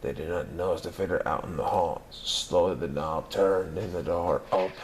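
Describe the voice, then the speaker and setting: A man reading a children's picture book aloud in a lively, expressive storytelling manner. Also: low voice, normal speed, slight background noise. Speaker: narrator.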